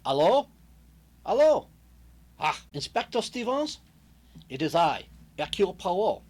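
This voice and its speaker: A man's voice: high voice, very animated, a little slow, darkish tone, heavily acted. A man playing a courtly, shrewd Belgian detective with a French-speaking Belgian accent, formal and hesitant, in a radio mystery style. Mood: brisk, formal. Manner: formal, hesitant, punctuating sentences with questioning tags. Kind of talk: old-time radio mystery acting.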